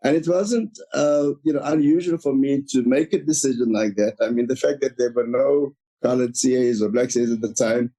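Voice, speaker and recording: A man whose first language is Afrikaans, a guest author telling his story in a measured, reflective way in an interview. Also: mid-range voice, warm tone, rough recording.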